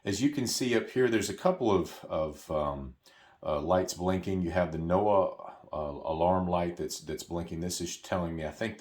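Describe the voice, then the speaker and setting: Man, low-pitched voice, tutorial presenter, unscripted instructions, hesitant explanatory delivery. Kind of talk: unscripted step-by-step instructional walkthrough.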